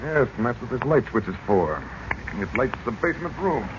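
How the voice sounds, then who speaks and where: An actor playing a grave, purposeful middle-aged uncle in 1940s radio drama style, on a dull recording. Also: male voice, mid-range voice, very animated, a little fast, noisy background, natural voice. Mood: intent, observant.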